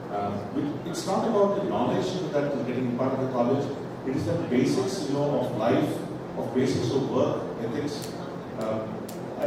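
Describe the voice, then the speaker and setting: A middle-aged man with an Indian accent moderating a panel discussion, speaking off the cuff in a halting, conversational way with rhetorical questions. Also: mid-range voice. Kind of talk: unscripted panel discussion at a conference. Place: large conference hall. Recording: very echoey hall, distant mic, heavy noise.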